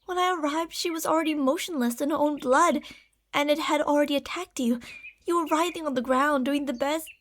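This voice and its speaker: High-voiced, possessive lamia woman, acted by a woman. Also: a little fast, natural voice. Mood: solemn, apologetic. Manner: soft, formal, soothing speech with breathy whispers. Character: possessive, obsessive lamia beast-woman, tender and deceitful. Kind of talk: ASMR roleplay acting.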